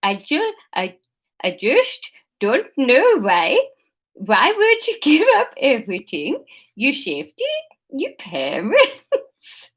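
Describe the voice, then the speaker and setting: A woman voicing a stern, suspicious female interrogator in a story, with an attempted Dutch accent and halting, deliberate delivery. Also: sits high, wildly swooping pitch, husky, full character voice. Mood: probing.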